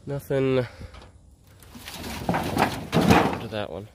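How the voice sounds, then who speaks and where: A man's voice: medium pitch, extremely slow, very noisy. A young man with a congested, stuffy voice, chatting casually as a vlogger while searching outdoors in the woods, recorded on a head-mounted action camera. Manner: chatty, excited, self-narrating while searching.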